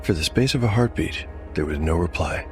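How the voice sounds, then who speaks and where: A man narrating a scripted dark fantasy tale as game master, with measured storytelling delivery. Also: low voice, animated. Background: music.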